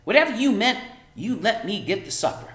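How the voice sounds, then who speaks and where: A man's voice: high-pitched, sing-song, a little fast, fairly bright tone, reverberant, voice pushed up. A man voicing a scolding, fussy, hot-tempered tiny mother from a storybook, with a nagging, exasperated delivery and rustic grammar. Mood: brisk, dismissive.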